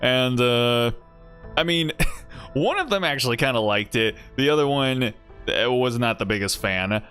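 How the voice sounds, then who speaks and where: A male game streamer talking casually in a middle-range voice, rambling and restarting his sentences as he goes. He laughs often and keeps things loose. Quiet game music plays underneath. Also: medium pitch, animated, unhurried, clear, resonant tone. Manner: rambling and self-interrupting, with frequent laughs. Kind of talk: casual game stream chat, reading game text aloud.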